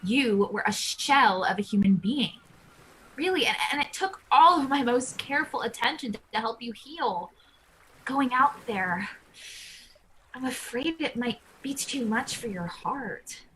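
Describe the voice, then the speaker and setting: Woman as bright-voiced, protective Depression spirit. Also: on the high side, lively intonation, measured pace, a little hiss or hum, low-quality recording. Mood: grave, protective. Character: female Depression personified, protective, coaxing, watchful caretaker. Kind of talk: live play reading.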